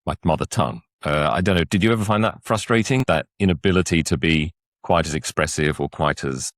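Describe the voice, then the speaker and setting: Male host, extremely deep voice, measured hesitant questions, dry close sound. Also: no echo. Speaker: podcast host. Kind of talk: interview questions.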